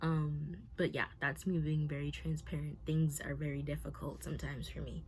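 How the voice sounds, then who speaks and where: A woman's voice: low-pitched, level tone, normal speed, darkish tone. A woman around twenty talking candidly to her camera, nervous and rambling, with many fillers and restarts. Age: 20s. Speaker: vlogger.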